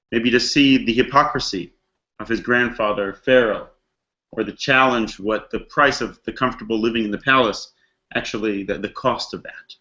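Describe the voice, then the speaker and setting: Slightly muffled, lo-fi audio carries a man teaching a religious text. He speaks in a conversational, reflective way at an average pitch, like a storyteller working through a passage and putting questions to his listeners. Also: a bit bright. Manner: dramatizes characters' thoughts in casual modern slang. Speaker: rabbi teaching a text study class. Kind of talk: conversational scripture lecture.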